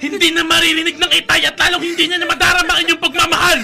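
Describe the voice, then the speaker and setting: An actor playing a bitter, grieving eldest son in an emotional, declamatory Tagalog radio drama style. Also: male voice, very high voice, level tone, very fast, creaky, music in the background. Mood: anguished, accusing.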